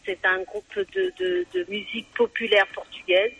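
A woman's voice: fairly high pitch, sing-song, moderate pace, creaky, fairly bright tone, husky. A woman, president of an association, chatting cheerfully as a radio guest with many hesitations. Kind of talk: casual radio chat.

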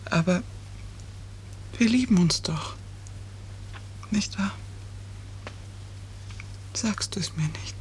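Eine Schauspielerin spielt in einem Hörspiel der fünfziger Jahre eine schwerkranke Frau, eindringlich, bitter und wehmütig. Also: female voice, mid-range voice, takes it slowly, long pauses, lightly acted. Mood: quiet, sorrowful. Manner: intense, reproachful, wistful. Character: seriously ill woman patient, bitter, searching, wanting the truth. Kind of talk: 1950s German radio drama acting. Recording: noisy early 1950s radio recording.

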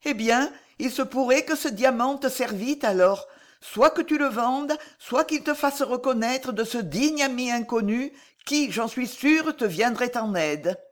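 A gentle noblewoman and mother, acted out by a female reader, speaks with solemn tenderness. Her formal, earnest delivery carries grief and dignity, in the manner of a dramatic historical audiobook. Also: mid-range voice, animated, unhurried, pitched above the performer's own voice, close to an everyday voice. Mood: tender, grave.

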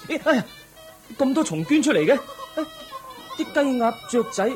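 A man's voice: very high-pitched, big pitch swings, normal speed, music in the background. A man playing a thoughtful, compassionate young crown prince in a Cantonese audio drama, earnest and questioning. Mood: troubled.

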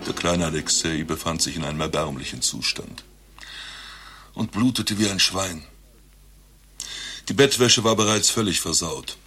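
An actor playing an eccentric doctor who claims to be Rasputin reborn, telling his tale in a deadpan, grandiose way in a satirical radio play. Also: low-pitched, normal speed, pauses often. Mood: grandiose, storytelling. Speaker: doctor recounting his past life.

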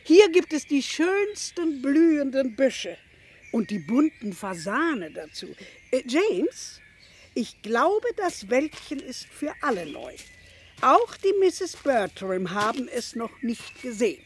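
High-voiced, unhurried genteel lady. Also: very animated, slight background noise, heavily acted. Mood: eager. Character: genteel lady leading a garden stroll. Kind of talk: radio drama acting.

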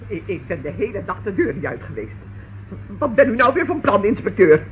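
A woman's voice: medium pitch, sing-song, a little fast, lightly acted. A woman playing a defiant, shifty hotel keeper who protests indignantly and stubbornly in an old radio crime drama. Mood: evasive, defiant. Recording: muffled, noisy old radio recording.

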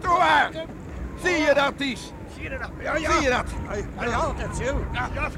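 An actor in a Dutch radio play, playing an excited villager on the shore who shouts and exclaims repeatedly. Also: male voice, extremely high pitch, moderate pace. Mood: tense excitement. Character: frantic villagers on shore watching a sea rescue. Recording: noisy outdoor storm scene, crowd behind.

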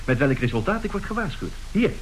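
A man's voice: a little high, big pitch swings, fast talker, slight background noise. An actor playing a glib, brazen swindler and seducer of women in a radio play, theatrical in his bargaining and pleading. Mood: cool, brazen. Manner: theatrical, swinging from cool bargaining to florid pleading. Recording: roomy radio drama recording.

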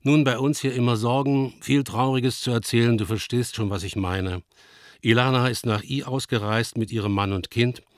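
An actor playing a worried, affectionate older father figure who reads his letter as a tender, measured, melancholy radio-play monologue. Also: on the low side, no echo, studio quality, close to an everyday voice. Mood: sad, resigned.

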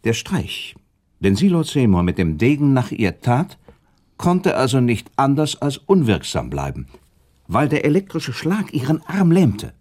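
A man's voice: mid-range voice, wildly swooping pitch, measured pace, darkish tone. A man playing a noble, sceptical prince in a German radio play, arguing insistently and reasoning with rhetorical questions. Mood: reasoning, explaining.

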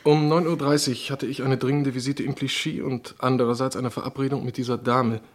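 A man's voice: medium pitch, flat delivery, fairly quick, close to an everyday voice. A man plays a medical colleague in a radio crime play. He is courteous but uneasy and hesitant, in an ordinary mid-range male voice. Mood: uneasy, confessing. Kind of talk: German radio crime drama acting.

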